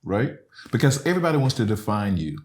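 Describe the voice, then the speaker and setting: A man's voice: fairly low pitch, very animated, fast talker, a little mellow. A middle-aged man from Alabama, a professor talking earnestly as a podcast guest, often tagging "right?" and "you know". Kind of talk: reflective conversational explanation.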